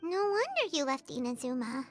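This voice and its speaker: A woman's voice: wildly swooping pitch, a little fast, faint music behind. A woman voicing a small, chirpy, childlike fairy companion in an anime video game, cute, earnest and supportive. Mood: friendly, encouraging. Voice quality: squeaky, childlike. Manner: speaks of herself in third person, cute and earnest.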